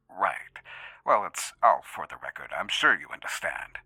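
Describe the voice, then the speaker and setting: A man playing a formal head researcher conducting an interview in an audio drama, polite, guarded and halting. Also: sits low, sing-song, bright, forceful tone, husky, dry recording, studio quality, heavily acted.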